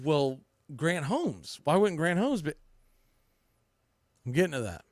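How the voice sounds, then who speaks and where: A man's voice: medium pitch, dry recording, studio quality. A man hosting a baseball podcast, giving off-the-cuff preview commentary and thinking aloud as he corrects himself.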